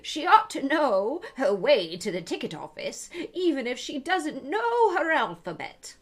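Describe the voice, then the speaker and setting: A woman voicing a bossy goat that speaks in a loud voice in a storybook reading. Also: sits high, very animated, unhurried, pitched above the performer's own voice.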